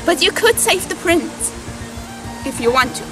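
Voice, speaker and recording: A solemn female forest spirit, acted by a young woman with a high voice. She speaks cryptically as guardian of all creatures in a homemade fairytale film, recorded outdoors. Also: expressive, unhurried, over music. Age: young adult. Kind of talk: amateur fairytale film acting. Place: outdoors in woodland.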